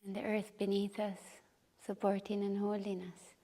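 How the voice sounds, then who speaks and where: Woman doing guided meditation, mellow relaxed voice, pretty flat, leaves pauses between short phrases. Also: mid-range voice. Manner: slow, unhurried phrases with pauses. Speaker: taiji and qigong meditation teacher. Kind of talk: guided meditation and movement instruction. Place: retreat session, live group class.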